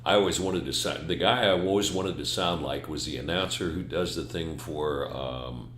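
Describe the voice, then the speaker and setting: An older man with a very low voice made even deeper and rougher by a chest cold. He speaks in an easygoing, self-deprecating way, and the studio sounds roomy with a faint hum. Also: normal speed. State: chest cold, hoarse deep voice. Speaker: podcast co-host, retired newsman.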